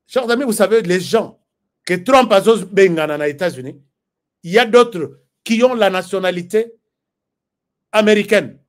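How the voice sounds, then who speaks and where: A man's voice: high-pitched, lively intonation, normal speed, pauses often. A man with a Congolese accent giving emphatic, impassioned political commentary, repeating phrases for force.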